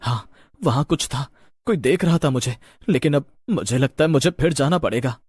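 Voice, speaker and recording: A man voicing a brooding young village man in a horror story, low, hushed and earnest. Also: moderate pace, breathy edge, no room sound, studio quality, natural voice. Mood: entranced calm.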